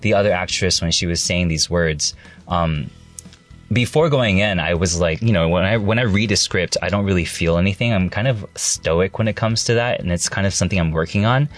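A man's voice: sits low, a little fast, faint music behind. A young man in casual interview chat, laid-back and rambling with lots of fillers, laughing easily. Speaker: guest, actor and singer.

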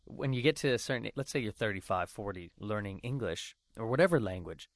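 A man's voice: on the low side, expressive, fairly quick, dry recording, studio quality. A man interviewing a guest in an upbeat, enthusiastic way with lots of fillers. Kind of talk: casual conversation.

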